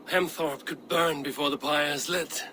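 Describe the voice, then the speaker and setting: An actor playing a steady, commanding Viking warrior leader in a game cutscene. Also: male voice, fairly high pitch, normal speed, a little hiss or hum. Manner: calm, commanding. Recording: game audio with ambient background.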